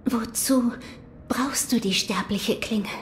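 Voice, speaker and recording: Solemn, hushed shrine maiden. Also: female voice, a little high, takes it slowly, slight background noise. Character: solemn, gentle mystical shrine maiden of dragon blood. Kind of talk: audio drama acting.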